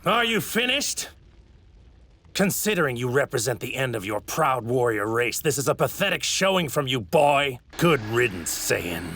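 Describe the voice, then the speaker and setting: An actor in an English anime dub playing an arrogant, sadistic god-like villain with a haughty, theatrical delivery and a gravelly, sharp-edged voice. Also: male voice, medium pitch, very animated, normal speed, exaggerated voice. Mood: smug contempt. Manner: haughty, theatrical, savoring his words. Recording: ripped anime audio with faint noise.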